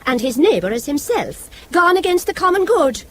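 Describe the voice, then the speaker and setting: A devout Irish woman who argues with righteous, sharp-tongued wit. Her Irish voice moves with lively intonation. It is radio drama dialogue, with slight noise behind it. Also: sits high, moderate pace. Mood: righteous, combative.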